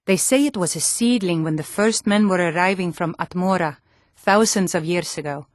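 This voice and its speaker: An actress playing a sturdy, earnest Nord woman in a fantasy video game, with clear, deliberate delivery. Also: lowish voice, dry recording, studio quality, lightly acted.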